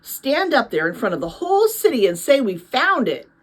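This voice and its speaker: A woman's voice: high-pitched, sing-song, moderate pace. A woman reading the part of an earnest, eager young boy in a storybook. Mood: excited.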